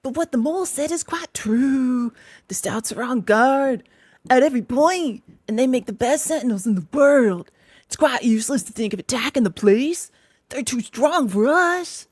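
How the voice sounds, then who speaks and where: A woman plays a severe old male badger with a slow, measured way of speaking. The voice carries firm authority, and the recording is dry and close. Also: a little high, lively intonation, a little mellow, husky, no echo, exaggerated voice. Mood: calming.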